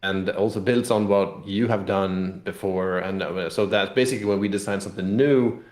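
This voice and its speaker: A man's voice: low-pitched, steady tone, normal speed, darkish tone. A man talking casually in an interview as a game designer, thinking aloud with repeated words and restarts. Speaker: game designer and publisher guest. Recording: echoey room sound.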